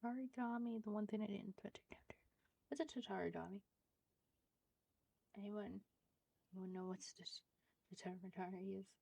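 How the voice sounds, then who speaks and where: A woman's voice: medium pitch, steady tone, normal speed, creaky, long pauses, warm tone. A woman livestreaming a game with casual commentary, making chatty asides to viewers and repeating short phrases. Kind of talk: casual live gameplay commentary.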